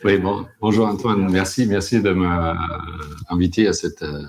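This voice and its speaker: A man's voice: low voice, flat delivery, measured pace, creaky, a little mellow. A man with a German accent, friendly and self-deprecating, introducing himself as an architect on a panel discussion. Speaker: architect, agency head. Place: office.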